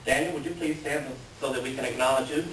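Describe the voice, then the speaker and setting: A man introducing a staged play reading as announcer, reading aloud and speaking in a halting way. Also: mid-range voice, steady tone, a bit bright. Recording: echoey room, distant mic, clear noise.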